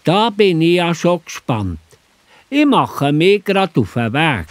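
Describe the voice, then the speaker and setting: A man with a Swiss accent playing an old, pious biblical prophet in a children's audio drama, deliberate and theatrical. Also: a little high, big pitch swings. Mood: solemn, expectant.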